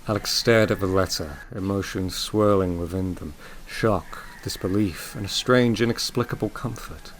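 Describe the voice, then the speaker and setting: A man narrating a scripted audio drama as a solemn, gentle storyteller, measured, with dramatic pauses. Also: sits low, a little hiss or hum, lightly acted. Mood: solemn, wondering. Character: solemn, gentle male storyteller of a grief tale.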